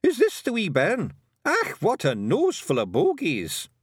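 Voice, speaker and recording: A man doing a tiny, brash pixie chieftain with a gruff Scottish brogue, pitched up high with a cocky bounce. His voice swings wildly in pitch, full of cheeky bluster, heard close and dry as in a storybook reading. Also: wildly swooping pitch, measured pace, no echo, full character voice. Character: scrappy tiny male pixie chieftain, boastful.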